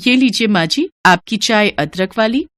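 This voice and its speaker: A woman's voice: medium pitch, animated, moderate pace, a bit bright, slight background noise, natural voice. A woman voicing a naive young newlywed daughter-in-law in a story, timid, deferential and hesitant. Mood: respectful.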